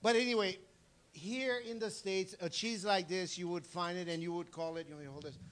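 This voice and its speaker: Haitian-accented man with a lively patter. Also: high-pitched, moderate pace, slight background noise. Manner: animated, repeats "okay?", laughs often. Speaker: cheese presenter. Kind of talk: casual live tasting talk.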